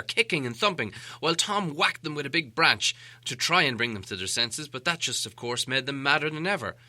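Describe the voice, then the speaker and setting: A man reading a storybook aloud as an animated audiobook narrator. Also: on the high side.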